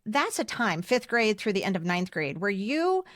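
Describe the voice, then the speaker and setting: A woman's voice: medium pitch, big pitch swings, moderate pace, fairly bright tone, no room sound. Chatty American woman, dry close sound. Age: 50s. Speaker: podcast host. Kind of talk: casual chat, reading old yearbook messages aloud.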